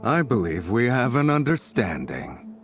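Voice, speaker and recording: An actor playing a shrewd, ruthless male desert tribe chief in a video game, deliberate and sardonic. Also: mid-range voice, sing-song, over music. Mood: wary, shrewd.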